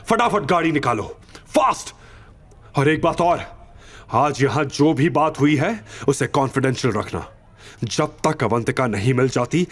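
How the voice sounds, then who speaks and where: A man's voice: mid-range voice, pitched above the performer's own voice, lightly acted. A man voicing an intense, commanding rich young hero in an audio drama, urgent and anxious for his love. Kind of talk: audio drama character acting.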